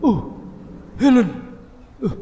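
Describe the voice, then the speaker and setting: A man's voice: very high-pitched, very animated, drawn-out words, mellow, big-room sound, lo-fi sound, slight background noise, voice pushed up, full character voice. A man voicing the desperate ghost of a Dutch father, anxiously calling out for his daughter. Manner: anxious, calling out in rising alarm.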